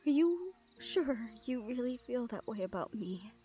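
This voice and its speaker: A woman playing a guarded but tender young thief in an intimate roleplay audio drama, speaking in a hushed, hesitant way. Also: fairly high pitch, very animated, a little slow.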